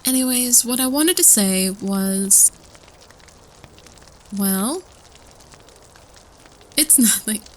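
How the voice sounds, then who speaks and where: A woman's voice: a little high, expressive, extremely slow, pauses often, slight background noise. A woman playing a nervous student who rambles through spooky folklore in a hesitant, casual way, repeating herself, in an audio roleplay. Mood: nervous excitement. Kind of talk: casual campfire storytelling roleplay.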